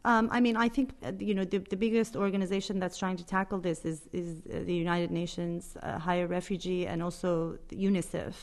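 A woman with a fairly low voice speaks as an interviewed reporter. Her delivery is earnest and explanatory, with emphasis on the points she makes. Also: fairly even intonation, fairly quick, few pauses. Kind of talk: spoken radio interview, explanatory.

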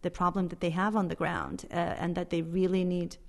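A woman's voice: on the low side, flat delivery, a little fast, vocal fry. A woman speaking as a reporter in an interview, explaining things in an earnest, emphatic way. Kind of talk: spoken radio interview, explanatory.

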